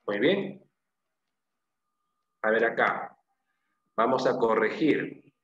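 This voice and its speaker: A man's voice: mid-range voice, drawn-out words, fairly bright tone. Echoey lo-fi male teacher. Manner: questioning, repeats answers and confirms them with short praise and tag questions. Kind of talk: interactive exam-prep language class. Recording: echoey room, dull lo-fi sound, faint noise.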